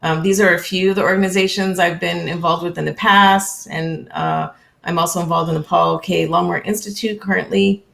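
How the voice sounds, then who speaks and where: A woman from California with a lowish voice and a gravelly edge. She talks in a friendly, conversational way over a video call and often pauses on an um. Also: steady tone, a bit bright. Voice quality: friendly, with frequent ums and small laughs. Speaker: interview guest. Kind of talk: casual conversational interview.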